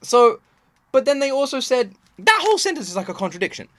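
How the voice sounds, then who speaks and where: A man giving casual, unscripted reaction commentary as the host, thinking aloud in an animated, puzzled way. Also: very high voice, fast, dry recording.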